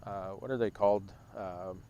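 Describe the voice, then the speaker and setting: A man in his thirties who works at a rescue mission, speaking reflectively and in a measured way during a casual talk outdoors. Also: on the low side, fairly even intonation, warm tone, muffled recording, a little hiss or hum. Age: 30s. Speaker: rescue mission staff member. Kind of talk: casual conversation on a livestream. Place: outdoors on a bench.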